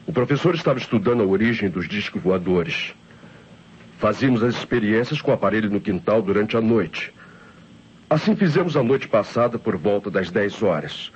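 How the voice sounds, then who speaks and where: A man's voice: lowish voice, lively intonation, normal speed, husky, muffled recording. A Brazilian man playing a professor's earnest assistant, explaining himself in an old radio mystery. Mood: earnest, confessing. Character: earnest male assistant to a murdered professor, confessing secrets. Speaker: radio actor.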